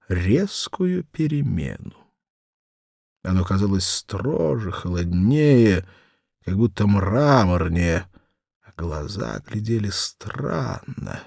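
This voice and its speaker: A man narrating a first-person story as an audiobook, theatrical and wry, with deliberate pauses. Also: low-pitched, creaky, darkish tone, dry recording, studio quality. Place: studio.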